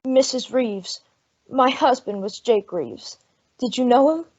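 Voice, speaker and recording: A woman's voice: high voice, slow, darkish tone, husky, exaggerated voice. A woman playing an anxious, humble frontier mother in an old-time radio western, earnest and pleading in a rustic way. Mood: puzzled, polite. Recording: dull lo-fi radio drama recording.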